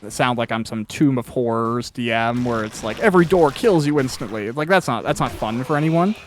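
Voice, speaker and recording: A man's voice: medium pitch, expressive, brisk, slight background noise. A man hosting a casual interview, chatting in a rambling, anecdotal way and swearing freely. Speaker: podcast interviewer and author.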